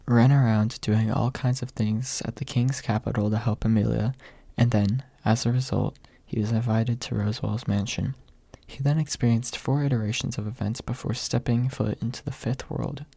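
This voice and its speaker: Soft husky man reading an ASMR audiobook. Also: mid-range voice, no echo. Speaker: audiobook reader. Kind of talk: soft ASMR scripted narration.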